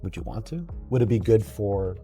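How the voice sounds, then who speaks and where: A man in his late forties with a fairly deep, dark and relaxed voice. He talks casually and informally, in a reflective way, as if working out his thoughts while he speaks. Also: moderate pace, faint music behind. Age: late 40s. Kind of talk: casual chat.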